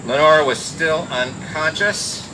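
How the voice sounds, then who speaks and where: An actor playing a wisecracking, cool private detective who narrates in slangy old radio noir style. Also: male voice, on the high side, slow pace. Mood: wry, cool. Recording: noisy old radio drama recording.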